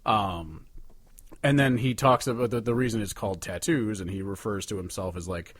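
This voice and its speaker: A man's voice: on the low side, moderate pace, no echo. A man co-hosting a casual music podcast, talking in an informal, rambling, sweary way. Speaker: podcast co-host.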